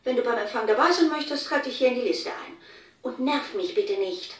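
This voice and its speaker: A woman acting a brusque, teasing embassy worker in a radio play. Her fairly high voice sounds roomy and off-mic, with a dry delivery. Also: animated, brisk, far from the mic, close to an everyday voice. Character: brisk, teasing, easily annoyed embassy colleague. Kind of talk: German radio drama acting.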